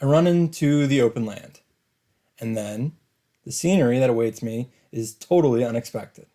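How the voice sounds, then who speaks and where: A man's voice: medium pitch, lively intonation, moderate pace, dark tone. A man let's player reading visual novel narration dramatically, with casual commentary of his own. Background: game music.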